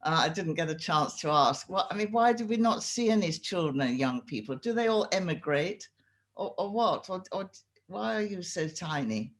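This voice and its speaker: A man's voice: sits high, animated, normal speed, fairly bright tone. A man hosting a film club Q&A, asking blunt, curious questions. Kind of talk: casual Q&A chat.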